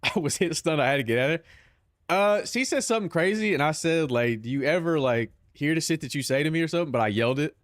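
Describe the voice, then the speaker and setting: A man's voice: on the high side, animated, fast talker, dry recording. Southern Californian guy with a dry sound, streaming. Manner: loose, profane banter peppered with "bro". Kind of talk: casual stream chat.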